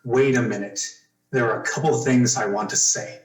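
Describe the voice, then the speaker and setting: A man's voice: mid-range voice, fairly even intonation, normal speed, echoey room, close to an everyday voice. An actor playing a suspicious, blunt young man making threats in an old-time radio suspense drama. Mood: accusing. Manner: blunt, tough.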